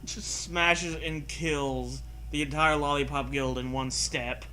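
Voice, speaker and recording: A man's voice: on the high side, steady tone, slow, pushed and bright, faint music behind. A man co-hosting a comedic reading of a story, hammy and dramatic, breaking off for casual joking asides. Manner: hammy, breaks off to riff and joke. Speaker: co-host reading a story aloud.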